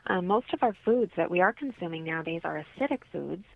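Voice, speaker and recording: A woman's voice: fairly low pitch, expressive, fast, vocal fry, dry recording. A woman explaining things as an interview guest, heard over a telephone. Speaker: wellness consultant, radio guest. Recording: tinny narrowband phone line.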